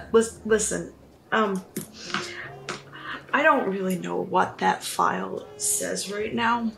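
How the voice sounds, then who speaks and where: A woman voicing a weary, guarded woman patient with halting, dryly sarcastic delivery in a tabletop roleplay. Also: mid-range voice, gravelly, a bit bright, faint music behind, full character voice, poor audio. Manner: halting, sighing, dry sarcasm.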